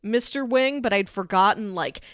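A woman's voice: mid-range voice, moderate pace, fairly bright tone, dry recording, studio quality. A woman co-hosting a film podcast in casual chat, acting out bits of dialogue as she retells scenes. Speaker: podcast co-host. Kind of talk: casual chat about films.

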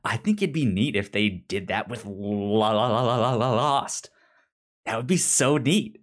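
A man's voice: on the low side, animated, a little slow. A man co-hosting a podcast, chatting casually about pop culture, with a stutter that repeats syllables and words. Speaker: podcast co-host.

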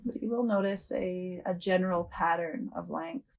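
A woman leading a guided yoga nidra meditation as a host, speaking gently and without hurry, with long pauses. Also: on the low side, highs cut off.

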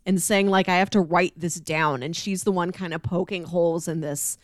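A woman's voice: fairly low pitch, steady tone, moderate pace, no echo, clean studio sound. A woman in casual podcast discussion, conversational, slipping into acted-out quotes as she explains. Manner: conversational, acts out quoted lines.

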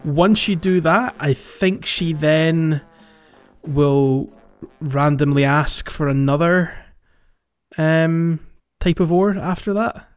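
A man's voice: on the high side, slow, smooth, highs cut off. A man hosting a casual gaming podcast, chatting in a jokey way and prone to chuckling. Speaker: podcast host.

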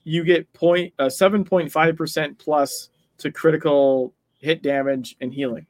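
A man with a fairly high-pitched voice talks through game details in a casual way. He explains as he goes and adds small hedging asides. The sound is very dry, with no room echo. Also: fairly even intonation, a little slow. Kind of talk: casual gaming discussion.

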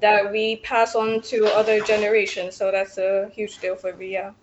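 A young woman from Ethiopia, a civil engineer answering radio interview questions with many pauses and fillers. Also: medium pitch, monotone, lo-fi sound, a little hiss or hum.

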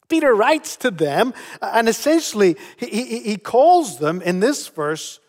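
A middle-aged pastor delivers an earnest sermon, often repeating a word before a phrase gets going. His voice sits fairly high for a man. The recording carries the long reverb of a church hall. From Spain. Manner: earnest, repeats words when starting a phrase.

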